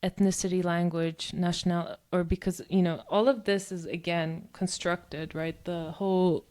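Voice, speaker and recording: A woman's voice: lowish voice, flat delivery, normal speed, darkish tone. A woman co-hosting a discussion podcast, talking in long, thoughtful stretches full of "um" and "you know". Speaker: podcast co-host.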